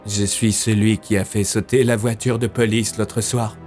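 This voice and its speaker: An actor playing a menacing bomber in an audio drama, speaking in a cold, controlled, chilling manner. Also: male voice, lowish voice, little pitch movement, normal speed, a little mellow, soft background music, close to an everyday voice. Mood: cold menace. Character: menacing male bomber stalking a journalist.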